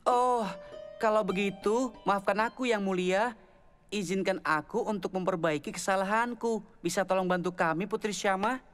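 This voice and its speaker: A woman voicing a clever, confident twelve-year-old boy inventor in an animated cartoon. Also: medium pitch, moderate pace, natural voice. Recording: music playing behind the voice.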